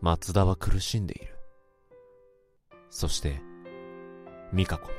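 An actor playing a male office worker who can hear thoughts, narrating a radio drama in a measured, introspective way. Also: sits low, over music. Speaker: narrator and lead character.

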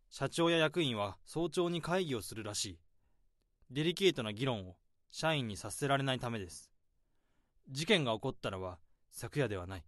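An actor playing an overworked male office worker laying out a case, in a radio drama, speaking calmly and methodically. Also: medium pitch, no room sound, clean studio sound, close to an everyday voice. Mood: accusing. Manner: calm, methodical explaining.

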